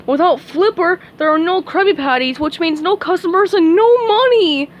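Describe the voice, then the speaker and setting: A woman voicing a greedy, excitable male crab boss, loud and fretful about money. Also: very high-pitched, moderate pace, a little hiss or hum, voice pushed up. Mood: worried.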